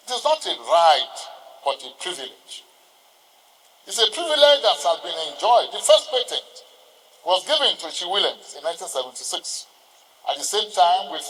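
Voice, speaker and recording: An older man with a Nigerian accent gives a formal ceremonial speech, exhorting his listeners deliberately with emphatic pauses. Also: high voice, sharp tone. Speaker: senior lawyer addressing a ceremony. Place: large indoor courtroom. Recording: reverberant hall with long echo.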